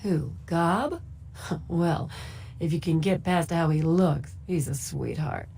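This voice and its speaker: An easygoing woman of a wasteland saloon in a video game. Her voice sits on the low side, and she speaks frankly and casually. There is faint noise behind her. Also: slow pace, vocal fry, mellow, heavily acted. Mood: casual, explaining. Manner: casual, matter-of-fact, colloquial. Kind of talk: video game character dialogue.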